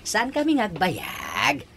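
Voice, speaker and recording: High-voiced, slow, lovelorn old father. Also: sing-song, gravelly, heavily acted. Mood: cheerful, courting. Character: lovelorn, self-pitying elderly father in radio comedy-drama. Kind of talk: radio comedy-drama acting.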